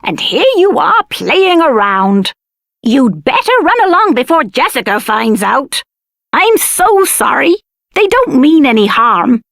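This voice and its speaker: A motherly village woman in a video game. Her voice is lively in pitch and earnest, with a slow pace and a dry sound. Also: vocal fry, dry recording, exaggerated voice. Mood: apologetic, welcoming. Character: motherly village woman, scolding yet welcoming. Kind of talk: video game character acting.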